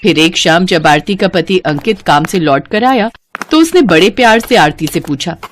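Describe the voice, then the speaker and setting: A woman narrating a scripted cartoon family story in an expressive storytelling style. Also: on the low side, normal speed, a little hiss or hum.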